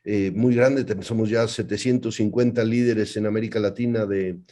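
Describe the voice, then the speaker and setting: A man's voice: lowish voice, steady tone, normal speed, warm tone. Mexican man on a video call. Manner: repeats words while building sentences. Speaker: panelist. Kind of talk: webinar self-introduction.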